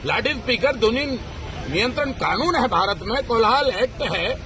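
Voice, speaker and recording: Man, extremely high pitch, combative politician, indignant questioning, clear crowd and street noise. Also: expressive, moderate pace, pushed and bright. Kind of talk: combative press soundbite. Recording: noisy outdoor crowd and traffic.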